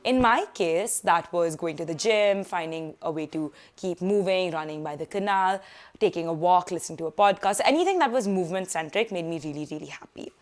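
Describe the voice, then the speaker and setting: A young woman talks straight to the camera from her sofa, sharing study-abroad tips in a chatty, animated way. Her voice sits a little low for a woman. The sound is picked up by a camera a short way off indoors. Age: young adult. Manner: chatty, animated hand gestures, quick asides. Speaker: student vlogger. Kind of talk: casual advice vlog. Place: living room sofa, indoors.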